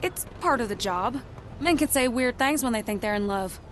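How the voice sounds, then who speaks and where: An actress playing a guarded, soft-hearted working girl in a video game, with a folksy Southern American drawl. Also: fairly high pitch, animated, moderate pace, background noise, lightly acted. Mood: sassy, guarded.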